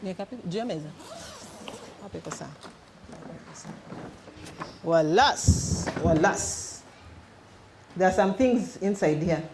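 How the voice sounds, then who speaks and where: A middle-aged Kenyan woman, originally from Western Kenya, leads a youth session as a minister. She keeps it lively by quizzing the room. Her handheld microphone picks up the echo of a church hall with a faint hiss behind her. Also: on the low side, slow pace, pauses often. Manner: engaging, quizzes the audience, mixes in Swahili. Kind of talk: interactive teaching to a youth group. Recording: echoey hall with faint noise.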